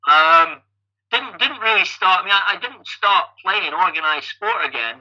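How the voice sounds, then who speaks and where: Bright, sharp-voiced Scottish man in his fifties. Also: sits high, lively intonation, moderate pace, highs cut off. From Scotland. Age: 50s. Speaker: podcast guest, amateur endurance athlete. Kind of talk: conversational life-story telling.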